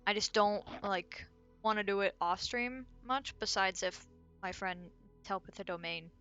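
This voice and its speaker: A woman's voice: medium pitch, a little slow, bright, forceful tone, dull audio. A woman streamer chatting casually and thinking aloud while she draws, rambling and muttering to herself. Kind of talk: casual chat while drawing. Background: faint background music.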